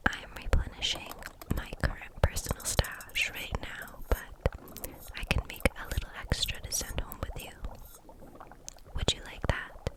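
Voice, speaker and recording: A woman whispering an ASMR roleplay as a gentle, kindly kitchen witch, soothing and unhurried in her manner. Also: poor audio.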